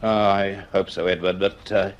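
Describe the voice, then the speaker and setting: Actor as an earnest, low-voiced academic man. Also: little pitch movement, normal speed, highs cut off, a little hiss or hum, natural voice. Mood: anxious, uneasy. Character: earnest, uneasy male university scientist, dryly polite. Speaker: lead character and narrator. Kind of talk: radio drama dialogue and narration.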